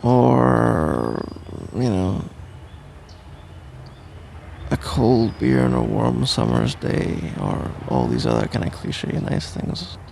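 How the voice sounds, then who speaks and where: A man's voice: medium pitch, sing-song, slow, gravelly, pauses often, darkish tone, a little hiss or hum, full character voice. A man playing a sleepy, cuddly husband in an intimate ASMR roleplay, drowsy, affectionate and rambling, with playful melodrama. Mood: tender, content.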